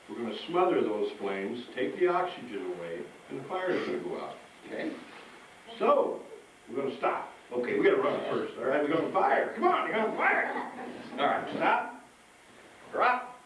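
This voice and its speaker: A man's voice: a little high, a bit bright. A man presenting as a firefighter, teaching children step by step in a live demonstration and often checking they follow. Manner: instructive, step by step, checking with "okay?". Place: live show before children. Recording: noisy echoey room, distant mic.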